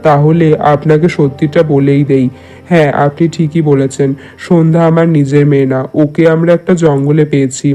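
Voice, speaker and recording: Man voicing courteous village landlord, even-toned. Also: a little high, brisk, faint music behind, natural voice. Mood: startled, confessing. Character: courteous landlord, adoptive father, frank and polite. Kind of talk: Bengali moral-tale cartoon dubbing.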